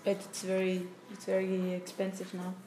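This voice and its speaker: A woman from Switzerland, chatting in halting English with many fillers as the one being interviewed. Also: mid-range voice, monotone, dark tone. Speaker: interviewee, traveller. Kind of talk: casual chat in learner English. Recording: echoey room with noise behind.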